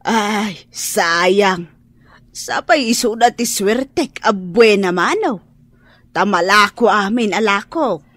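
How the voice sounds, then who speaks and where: A woman's voice: medium pitch, animated, slow pace, fairly bright tone, no echo. A woman playing a polite but persistent fish vendor with an Ilocano accent in a radio drama. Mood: polite, coaxing.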